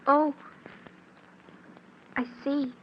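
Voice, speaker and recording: Little girl, very high voice, meek and polite, secretly scheming, muffled old radio drama. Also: level tone, drawn-out words, a little hiss or hum. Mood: sweet, meek. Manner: meek, innocent-sounding politeness. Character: outwardly sweet young girl, secretly hateful and scheming. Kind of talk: 1940s radio acting. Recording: muffled 1940s radio recording.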